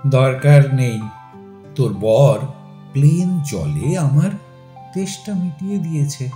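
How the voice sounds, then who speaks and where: Mellow, bantering man. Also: mid-range voice, big pitch swings, slow pace. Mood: teasing. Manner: colloquial, bantering, theatrical. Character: teasing, casual Bengali men: old flame and genial husband. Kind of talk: audio story drama dialogue. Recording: roomy echo with faint music. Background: soft music.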